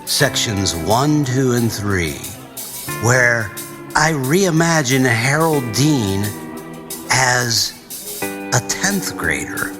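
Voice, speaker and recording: Slow-spoken man narrating noir, dramatic and lively. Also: mid-range voice, over music. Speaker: author, poet and podcast host reading his own fiction.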